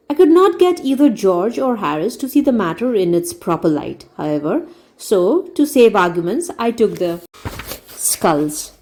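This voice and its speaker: A woman reading a classic novel aloud as a storyteller, in measured, deliberate phrasing. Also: medium pitch, warm tone. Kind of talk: audiobook-style read-aloud narration.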